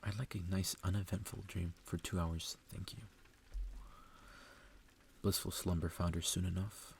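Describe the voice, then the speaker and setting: A man with a deep, gravelly voice reads a story in a hushed ASMR style. His delivery is intimate and very even in pitch, drifting into whispers. There is faint noise behind him. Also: a little slow. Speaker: ASMR reader. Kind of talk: ASMR, soft reading aloud of a story.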